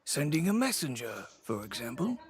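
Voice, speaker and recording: An actor voicing a confident adult man in a video game, dry and teasing in a measured way. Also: medium pitch, sing-song, lightly acted. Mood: wry. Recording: game audio with faint music.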